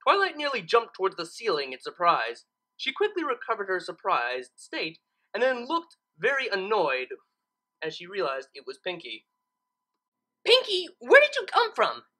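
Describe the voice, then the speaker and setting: A woman's voice: on the low side, sing-song, normal speed, bright, forceful tone, poor audio. A woman reading a scripted comic story aloud as its narrator. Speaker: fan fiction reader.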